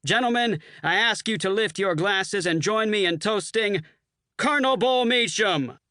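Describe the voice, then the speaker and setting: A man doing a loud swaggering Marine colonel. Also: high voice, lively intonation, unhurried, no echo, clean studio sound, pitched above the performer's own voice, full character voice. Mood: toasting. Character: brash hard-drinking male Marine colonel.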